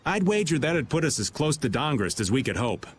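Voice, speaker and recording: Roguish middle-aged guild veteran, lively swooping delivery, breezy and teasing, video game dub, faint noise behind. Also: male voice, a little high, a little fast. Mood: breezy, wry. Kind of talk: animated video game dubbing.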